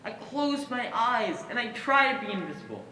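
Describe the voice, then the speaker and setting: A woman's voice: on the high side, sing-song, normal speed, pushed and bright. A woman playing a fearful, guilt-ridden young woman in a theatrical stage monologue. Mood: anxious, guilty. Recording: echoey, distant, faint noise.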